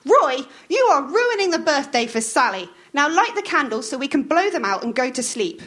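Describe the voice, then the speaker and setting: A shrill, bossy woman who scolds everyone around her, her voice leaping high and loud with indignation, as a woman reads her part. She snaps out orders and swings wildly in pitch. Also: very animated, normal speed. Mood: indignant. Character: bossy shrill middle-aged woman Maud.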